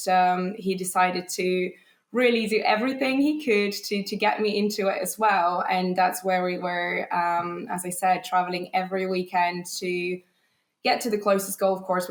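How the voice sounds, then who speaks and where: A woman from Poland talks as a podcast guest about her golf coaching. She speaks thoughtfully and often restarts her sentences. Her voice sits at a middle pitch and has a roomy echo around it. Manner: thoughtful, frequent restarts and fillers, light laughs. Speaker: guest, golf teaching professional. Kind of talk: casual podcast interview.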